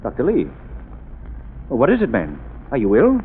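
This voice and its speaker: A man playing a calm, wealthy amateur sleuth in a 1930s radio drama, measured and commanding. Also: medium pitch, breathy edge. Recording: tinny old radio broadcast with faint hiss.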